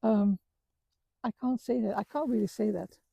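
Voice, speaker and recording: A middle-aged woman from the Netherlands with a Dutch accent, talking earnestly about her life with many halting restarts. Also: mid-range voice, steady tone, vocal fry, warm tone, husky, dry recording. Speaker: vlogger. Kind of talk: reflective personal talk. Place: outdoors on a walk.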